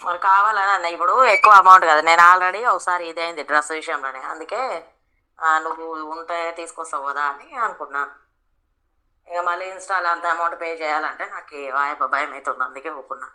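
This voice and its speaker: A woman's voice: low-pitched, steady tone, brisk, bright, forceful tone, low-quality recording. A woman with a Telangana accent in a casual phone conversation, talking bluntly and argumentatively. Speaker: friend on the receiving end of a prank call.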